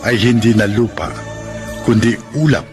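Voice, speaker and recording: An actor with a Filipino accent playing a practical, working-class young suitor in a radio drama, with an earnest, pleading manner. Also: male voice, lowish voice, fairly even intonation, normal speed, natural voice. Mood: resigned, sorrowful. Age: young adult. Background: faint music.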